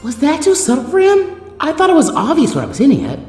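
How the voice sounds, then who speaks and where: A man's voice: very high voice, wildly swooping pitch, fast, darkish tone, soft background music, pitched above the performer's own voice, heavily acted. A man voicing a perky, bossy teenage girl ninja in a story, chirpy and insistent. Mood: exasperated.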